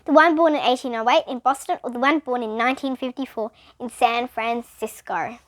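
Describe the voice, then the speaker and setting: A woman's voice: a little high, fairly even intonation, brisk, fairly bright tone, no room sound, studio quality, natural voice. A female reader voicing a studious, precise schoolboy in a children's storybook. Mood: matter-of-fact.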